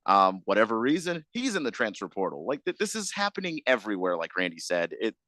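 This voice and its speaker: Man, co-host, low voice, dry close mic, casual sports chat. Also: very animated, quick pace, sharp tone, dry recording. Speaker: radio co-host. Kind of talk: live sports radio talk.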